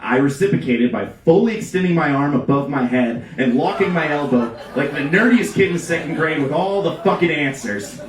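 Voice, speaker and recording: A man reading a comic story aloud at a live event, with theatrical emphasis and dramatic pauses. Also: a little high, lively intonation, a crowd in the background. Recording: echoey live room, distant mic, background noise.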